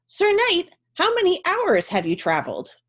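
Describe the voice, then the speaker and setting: Woman putting on a high-pitched courtly storybook princess. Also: huge pitch swings, moderate pace, fairly bright tone, highs cut off, full character voice. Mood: polite, inquiring. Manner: formal, courtly address. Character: fair princess questioning a knight politely. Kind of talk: storybook character voice.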